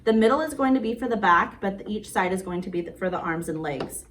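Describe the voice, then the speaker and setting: A woman's voice: mid-range voice, lively intonation, a little fast, fairly bright tone. A woman hosting story time and crafts for young children, talking in a simple, sing-song way. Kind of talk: picture-book read-aloud and craft demonstration for young children. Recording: noisy, distant microphone.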